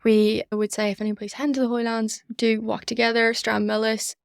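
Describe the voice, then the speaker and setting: A woman's voice: a little high, level tone, brisk, vocal fry, clear, resonant tone, dry recording, clean studio sound. A young woman with a Northern Irish accent giving earnest, enthusiastic answers as an interview guest. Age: young adult. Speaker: student society member, podcast guest.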